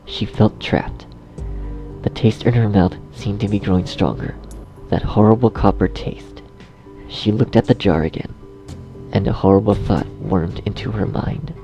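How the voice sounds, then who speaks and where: A man's voice: lowish voice, measured pace, warm tone, muffled recording. A man narrating a scripted horror story dramatically, as its storyteller. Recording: faint music behind the voice.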